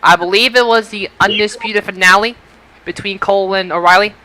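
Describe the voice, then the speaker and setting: A man with a fairly high, bright voice hosts a casual podcast results show. His tone is clean and smooth as he talks through the outcomes of matches. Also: steady tone, fairly quick, low-quality recording. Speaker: podcast host. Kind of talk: casual sports results discussion.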